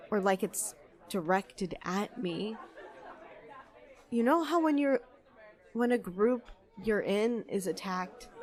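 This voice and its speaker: A woman's voice: mid-range voice, lightly acted. A woman playing an outspoken, hot-tempered cafe worker who stands up for outcasts, in an audio drama. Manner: confrontational, impassioned.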